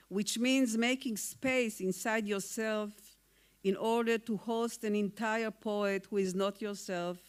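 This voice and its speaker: A woman whose first language is Hungarian delivers a formal acceptance speech as a poet. She reads slowly and deliberately, in a clear, smooth voice at an ordinary pitch for a woman. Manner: slow, measured, deliberate reading. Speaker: award-winning poet.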